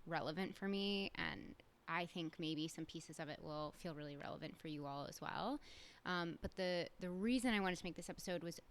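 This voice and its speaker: A young adult woman, hosting a solo podcast in a candid, conversational way with casual fillers. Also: on the low side. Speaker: podcast host.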